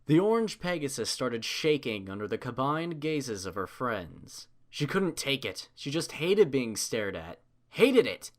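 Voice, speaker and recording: A male narrator reads fan fiction aloud. His mid-pitched voice is highly animated and varies a lot, in an expressive storytelling style. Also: unhurried, hardly any pauses.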